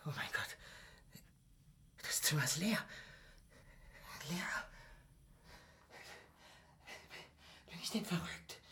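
An actor playing an ardent, jealous young aristocrat in a radio drama, formal and insistent. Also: male voice, a little high, animated, slow pace, sharp tone, whispered, exaggerated voice. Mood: bewildered.

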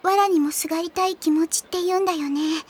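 A woman voicing a gentle, anxious little girl in an anime audio drama, timid and hesitant. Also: extremely high pitch, steady tone, brisk. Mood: timid, hopeful. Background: background music.

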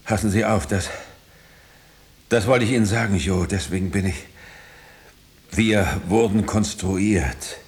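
An actor in a radio drama playing a hunted, engineered man who eats noise, speaking urgently and haltingly, often breaking off. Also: fairly low pitch. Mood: agitated, desperate. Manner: halting, insistent, breaking off sentences. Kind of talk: German radio drama acting.

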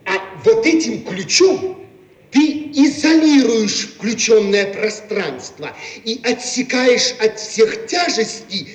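An actor playing an excitable, idealistic inventor with impassioned, grandiloquent delivery in a radio play. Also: male voice, very high-pitched, slow, distant mic, exaggerated voice. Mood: enthralled. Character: excitable idealistic male inventor of a time machine. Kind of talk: Soviet radio play acting. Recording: echoey archive radio recording, off-mic.

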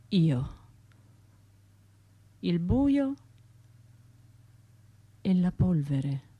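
An actress playing a traumatised, self-reproaching woman survivor in a radio drama monologue, speaking in fragmented, obsessively repeated phrases. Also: fairly high pitch. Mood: distraught, pleading. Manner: fragmented, repetitive, obsessive delivery with hushed and surging phrases.

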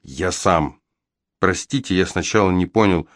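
A man's voice: sits low, a little slow. A man voicing an awkward, self-mocking male character in audiobook dialogue. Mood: sheepish.

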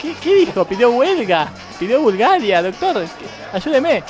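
A woman's voice: a little high, very animated, a little slow, a little mellow, faint music behind, a faint crowd. A woman with a Rioplatense Argentine accent playing a fussing, doting, scatterbrained mother who gushes in baby talk, in a radio comedy sketch. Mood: gushing. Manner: dim, gushing, baby-talking to her child.

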